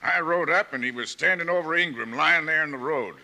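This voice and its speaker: A man's voice: a little high, fairly quick, bright, forceful tone. A man playing a stubborn, hot-headed small-town sheriff, blustering and insistent, in a 1950s radio western drama.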